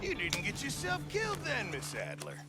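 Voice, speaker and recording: An actor playing a sardonic, grumbling camp cook with an American accent, sarcastic and needling, in a video game. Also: male voice, very high-pitched, huge pitch swings, moderate pace, over loud music, exaggerated voice. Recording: game dialogue with clear outdoor noise.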